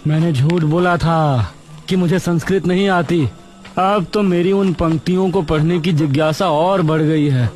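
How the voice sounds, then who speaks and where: A man's voice: a little high, fairly even intonation, a little slow, a little mellow, faint music behind, voice pushed up, lightly acted. A man voicing a young, educated, curious village schoolteacher in a cartoon story, speaking earnestly and with wonder. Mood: intrigued. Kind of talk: Hindi cartoon story dialogue.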